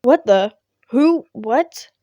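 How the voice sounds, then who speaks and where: A woman's voice: on the high side, lively intonation, extremely slow, mellow, no room sound. Girl reading as shy confused teen boy, kinda hesitant, questioning, dry close audio. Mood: bewildered. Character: reserved, puzzled teenage boy student. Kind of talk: fan fiction audio reading.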